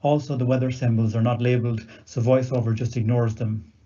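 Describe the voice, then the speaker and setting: Mellow man narrating a demonstration. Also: mid-range voice, little pitch movement, brisk. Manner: methodical, matter-of-fact. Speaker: video demonstrator. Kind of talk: scripted instructional narration. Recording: dull lo-fi recorded video audio.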